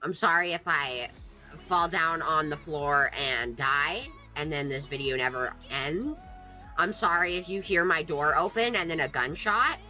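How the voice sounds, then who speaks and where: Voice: medium pitch, sharp tone, rough recording. A gaming vlogger with a cold, sniffling while joking and rambling off topic in playful, sarcastic casual chat. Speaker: gaming YouTuber.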